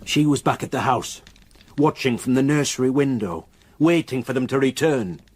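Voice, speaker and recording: A man acting a solemn villager in a gothic radio play, his voice husky and breathy. He speaks haltingly and ominously, as someone reluctant to give up an old secret. The pitch sits in a middle male register. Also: lightly acted. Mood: haunted, ominous. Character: grave, reluctant local countryman haunted by dark secrets. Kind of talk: radio drama acting, storytelling.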